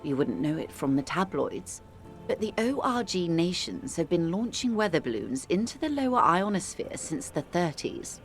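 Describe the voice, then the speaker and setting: A woman playing a worldly, composed older woman in a video game, speaking eloquently in a measured, gently condescending manner. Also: on the low side, very animated. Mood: wry, measured. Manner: measured, eloquent, calls the listener dear and darling. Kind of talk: dramatic video game dialogue. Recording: music playing under the voice.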